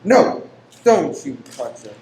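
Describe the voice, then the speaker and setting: Male actor as formal, secretive gentleman lodger, pious and weary, touchy and exacting; echoing room, faint noise. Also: fairly high pitch, sing-song, takes it slowly. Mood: sharp, stern. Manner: formal, exacting, abrupt then apologetic. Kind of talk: radio play acting. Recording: roomy echo with faint background noise.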